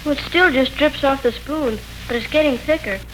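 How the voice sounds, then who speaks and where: A boyish, wisecracking adolescent in a 1940s radio drama. The voice is high and sharply bright, full of slang and humorous grumbling, over a faintly hissy old recording. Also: male voice, normal speed, rough recording. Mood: wry grumbling. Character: wisecracking, put-upon adolescent boy helping his mother.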